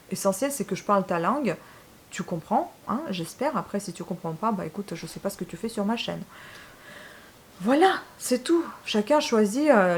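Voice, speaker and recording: A woman's voice: medium pitch, a little hiss or hum. A woman from Ukraine speaking French with a Ukrainian accent as a book channel host, talking straight to her viewers, frank and a little exasperated, with many fillers and restarts. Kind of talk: casual vlog monologue to viewers.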